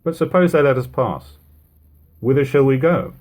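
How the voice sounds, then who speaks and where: A man voicing a cautious, doubtful seaman and sailmaker in an audiobook of an old novel, reasoning in a deliberate way. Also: mid-range voice, a little mellow, voice pushed up, natural voice. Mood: doubtful, worried.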